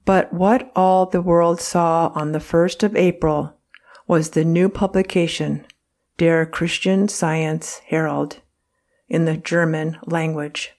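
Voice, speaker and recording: A woman reading a historical account aloud as narrator, in a measured, earnest way. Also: low voice, steady tone, darkish tone. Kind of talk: scripted narration.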